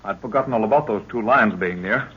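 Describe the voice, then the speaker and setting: An actor playing an earnest young adventurer, a professor's assistant, in an old-time radio drama. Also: male voice, lowish voice, quick pace, breathy edge. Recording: dull, noisy vintage radio recording.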